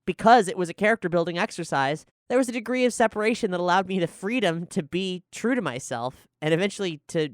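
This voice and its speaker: Casual Q&A creator, female, clear resonant voice, candid animated storyteller, very dry close sound. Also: lowish voice, quick pace, few pauses, no room sound. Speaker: show creator and writer answering questions. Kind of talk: casual reflective Q&A chat.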